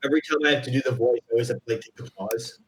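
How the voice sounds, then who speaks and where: A man's voice: mid-range voice, racing. A man chatting casually in a rambling, self-deprecating way, like an amateur voice actor before a stream. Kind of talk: casual chat before a livestream. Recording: roomy, echoey home recording.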